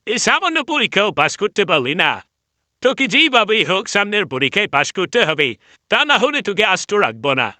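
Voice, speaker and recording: A man voices an excitable cartoon animal with a high, bright voice. It swings in pitch and sounds fussy and emphatic, recorded very dry. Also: moderate pace, dry recording, exaggerated voice. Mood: scolding. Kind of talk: animated fable dialogue.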